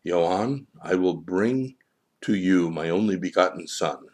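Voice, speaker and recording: A man voicing a solemn, patient and authoritative God in a storybook, speaking in a measured way. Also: low voice, lively intonation, darkish tone, full character voice.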